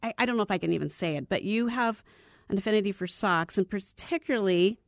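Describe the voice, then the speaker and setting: A woman's voice: medium pitch, fast, dry recording, clean studio sound. A woman from Arizona co-hosting a radio talk show, bantering and laughing before introducing a guest. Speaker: radio co-host. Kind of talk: casual chat and interview.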